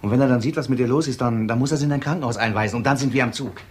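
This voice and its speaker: An actor playing a dogged, impatient police detective in a German crime radio drama, pressing with clipped questions. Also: male voice, mid-range voice, rapid-fire, natural voice. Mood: determined. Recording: radio drama with background noise.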